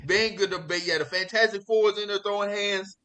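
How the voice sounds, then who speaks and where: A man's voice: high voice, quick pace. Casual, slangy man chatting on a podcast. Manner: slangy, profane, excitable. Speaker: podcast host. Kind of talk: casual pop culture chat.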